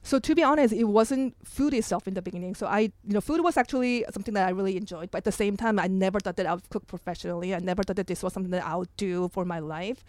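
A woman's voice: mid-range voice, fast talker, few pauses, a little mellow, no room sound. Woman chef, candid and chatty. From Korea. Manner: candid, restarts often, many "like" and "you know". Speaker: chef, interview guest. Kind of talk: casual onstage conversation.

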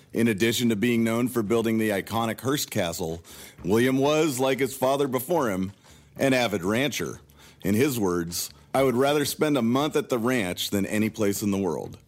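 A man reading a sponsor advertisement in an even, polished way. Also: lowish voice. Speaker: sponsor announcer. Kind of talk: read advertisement.

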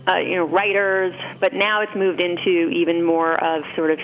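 Through a dull, band-limited recording with background noise, a woman speaks as an interview guest. Her voice sits a little low, and she explains things in a casual, conversational way with plenty of filler phrases. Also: flat delivery, moderate pace. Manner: explanatory, often interjecting "you know". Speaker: interview guest, food writer and entrepreneur.

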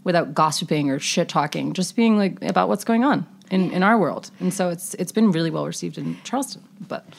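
Chatty woman from the Carolinas, lowish voice. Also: expressive, a little fast, creaky, few pauses. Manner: chatty, laughing, lots of fillers. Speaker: podcast co-host, guest. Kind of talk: casual chat. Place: studio.

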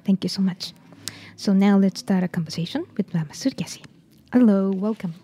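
A woman hosting a radio interview, speaking softly and hesitantly with many fillers. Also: mid-range voice, brisk, slightly rough. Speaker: podcast host.

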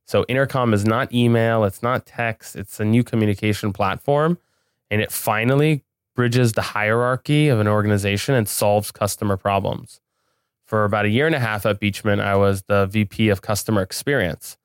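Smooth, low-pitched man chatting casually. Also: moderate pace, dry recording, studio quality. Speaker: podcast guest, business owner. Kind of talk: casual interview chat.

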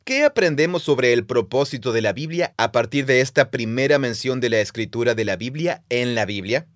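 Man, mid pitch, patient explainer, playful, dry close mic. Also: moderate pace, no room sound. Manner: explains step by step, playful quiz asides. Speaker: podcast co-host explaining the Bible. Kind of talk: casual teaching conversation.

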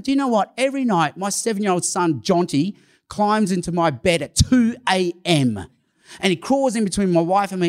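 A middle-aged man whose first language is Cantonese, preaching and acting out conversations with rising emphasis. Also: a little high. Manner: animated, acts out dialogue with rising emphasis. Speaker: pastor. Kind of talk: sermon storytelling.

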